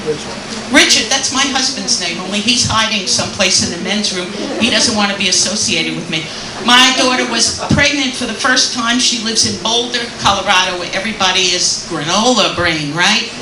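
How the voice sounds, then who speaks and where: A woman's voice: mid-range voice, moderate pace, reverberant, far from the mic, background noise. An older woman from Brooklyn with a New York accent, doing a rambling, self-mocking stand-up set in a comedy club and teasing the audience.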